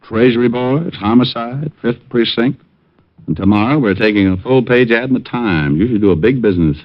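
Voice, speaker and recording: An American actor playing a cocky, wisecracking private detective in a 1950s radio drama, wry and hard-boiled. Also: male voice, medium pitch, normal speed, close to an everyday voice. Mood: wry, cool. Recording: muffled old radio recording, loud music behind.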